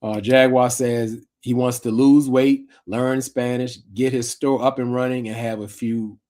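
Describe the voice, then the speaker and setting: A laid-back man co-hosts a live stream and answers in short, easy replies. His voice is at a plain middle pitch. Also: flat delivery, measured pace, a little mellow. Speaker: co-host. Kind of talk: casual live-stream chat.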